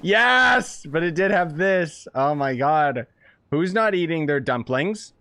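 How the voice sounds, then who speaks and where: A young man doing casual live game commentary in an excitable, playful, slangy way. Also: a little high, slow pace. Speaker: game streamer. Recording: game music behind the voice. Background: game music.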